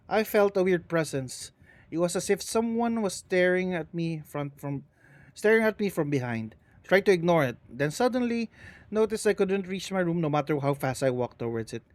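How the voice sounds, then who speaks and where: A man's voice: high-pitched, dry recording. A man reading as a grieving, shaken professor. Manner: shaken, anguished first-person retelling. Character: grieving middle-aged male professor recalling horror.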